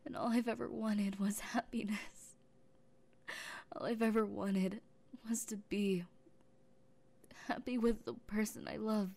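A lovesick young woman who holds the one she loves captive, tender and possessive all at once, as a woman acts her out in an audio roleplay. She talks very slowly in a mellow, softened tone, halting between phrases and often sinking into a hushed whisper. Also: mid-range voice, little pitch movement, vocal fry. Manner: soft-spoken, halting, drifting into whispers.

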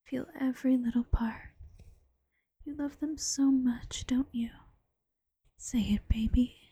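A woman's voice: high-pitched, steady tone, warm tone, slightly rough, dry recording, full character voice. Woman whispering as a teasing bunny girlfriend. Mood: teasing, coaxing. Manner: slow, coaxing and teasing. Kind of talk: ASMR roleplay.

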